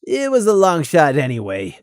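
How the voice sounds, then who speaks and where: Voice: medium pitch, wildly swooping pitch, moderate pace, dry recording, clean studio sound. A narrator as a frank, grumbling male pony. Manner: frank, grumbling, awkwardly candid. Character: gruff but caring male pony companion.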